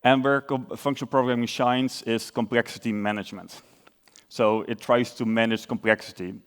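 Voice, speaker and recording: A man's voice: mid-range voice, normal speed, clear, resonant tone. Dutch-accented man giving a tech talk. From Netherlands. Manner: relaxed explanatory, with filler uhs and self-corrections. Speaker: conference speaker.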